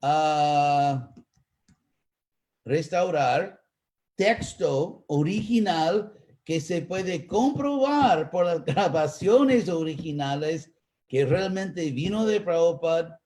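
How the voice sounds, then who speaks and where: A man with an American accent, a native English speaker, teaching in a live online talk and answering questions, pausing to search for words. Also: fairly high pitch, darkish tone. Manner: slow, searching for Spanish words with pauses. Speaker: spiritual teacher.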